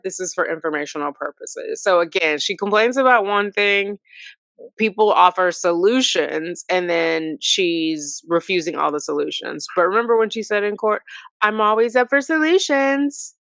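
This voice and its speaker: A woman's voice: mid-range voice, normal speed, a bit bright, no room sound. An American woman hosting a commentary channel, reading reports dramatically and breaking into sassy, conversational asides.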